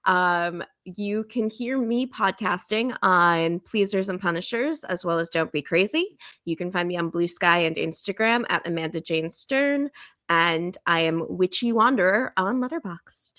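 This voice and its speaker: A woman's voice: on the low side, a little slow, fairly bright tone. A woman chatting casually as a podcast guest, talkative and informal. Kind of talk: casual chat.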